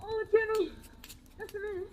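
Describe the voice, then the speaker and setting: A woman's voice: very high-pitched, level tone, normal speed, phone-quality sound. An actress playing a frightened young woman on the run in a 1940s radio drama, hesitant and pleading. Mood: nervous, urgent. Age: young adult.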